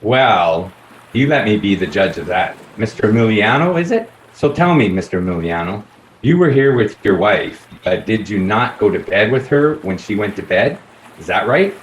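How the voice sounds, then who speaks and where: A man's voice: fairly low pitch, lively intonation, normal speed, natural voice. A man playing a pompous, plodding, self-important police detective in a radio play. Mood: probing. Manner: pompous, repetitive, officious. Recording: echoey room, faint background noise.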